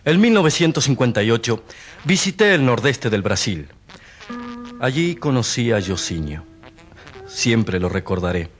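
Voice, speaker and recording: Earnest, animated rural doctor testifying. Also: male voice, mid-range voice, faint music behind. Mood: grave, sorrowful. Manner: didactic, deliberate, grave. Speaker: actor in a radio drama. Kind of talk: Spanish-language radio drama acting.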